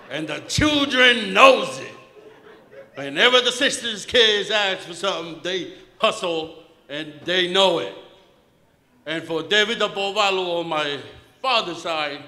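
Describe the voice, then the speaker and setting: A man with a bright, high voice and a Tongan accent tells a eulogy full of easygoing jokes. He holds a handheld mic in a big banquet hall, and the sound rings with a long, roomy reverb. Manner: warm joking storyteller, laughs between lines. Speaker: eulogy speaker. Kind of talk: personal eulogy. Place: large banquet hall, indoors.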